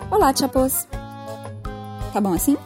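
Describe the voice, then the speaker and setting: A woman's voice: high-pitched, big pitch swings, measured pace, darkish tone, soft background music. A Brazilian woman chatting casually as a podcast commentator, rambling and self-deprecating.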